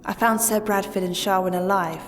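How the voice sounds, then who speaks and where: A woman voicing a young female half-elf scout rogue in an audio drama, reporting back briskly. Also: mid-range voice, fairly even intonation, lightly acted. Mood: urgent. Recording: distant, reverberant, music behind.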